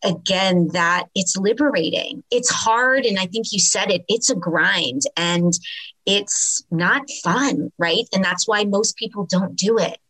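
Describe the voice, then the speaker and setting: A woman hosting a podcast, responding in a reflective, measured way. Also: medium pitch, a bit bright, rough recording. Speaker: podcast host. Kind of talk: conversational podcast chat.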